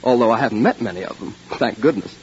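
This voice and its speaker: Male actor as condescending literary suitor, brisk talker, 1940s radio drama, dull hissy recording. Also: mid-range voice, animated, darkish tone, close to an everyday voice. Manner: pompous, wordy, condescending. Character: pompous, smooth-talking male intellectual writer courting a young woman.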